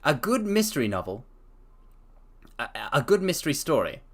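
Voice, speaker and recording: A man's voice: on the high side, sing-song, moderate pace, fairly bright tone. A man streaming a dramatic reading, often stopping to correct himself and adding chatty asides.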